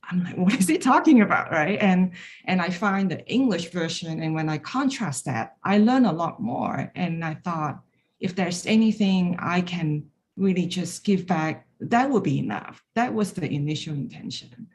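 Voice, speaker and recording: A woman's voice: on the low side, expressive, moderate pace. Woman sharing a personal story. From Hong Kong. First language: Chinese. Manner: candid, storytelling, light self-deprecating humor. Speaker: graduating student speaker. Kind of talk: personal reflection talk.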